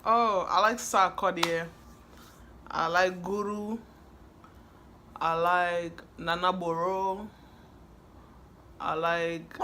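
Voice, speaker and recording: A laid-back woman talks easily about home, rambling and chuckling between answers. Her voice is bright and forward. A faint hiss sits under the recording. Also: lowish voice. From Ghana. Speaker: vlogger answering questions. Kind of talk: casual chat.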